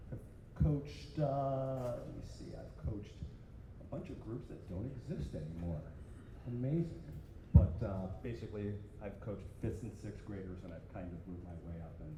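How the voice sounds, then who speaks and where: A man's voice: lowish voice, moderate pace. A man, a youth swim coach, introducing himself at a team meeting in a rambling, lightly joking way. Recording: echoey room with crowd noise.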